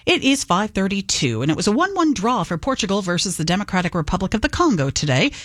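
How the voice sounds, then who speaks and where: A woman anchoring a news radio broadcast, reading scripted news in a smooth, measured way. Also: medium pitch, very animated, a bit bright, dry recording, clean studio sound.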